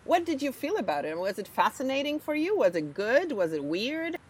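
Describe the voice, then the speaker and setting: A woman interviewing guests, asking animated, probing questions. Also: high voice, brisk, husky, dry recording.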